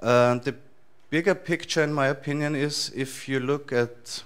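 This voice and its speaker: A man in his thirties with an Austrian accent, giving a relaxed, loosely structured talk to a conference audience in a large lecture hall. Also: mid-range voice, unhurried, clear, resonant tone. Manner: relaxed, thinking aloud with frequent fillers and restarts. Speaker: conference speaker.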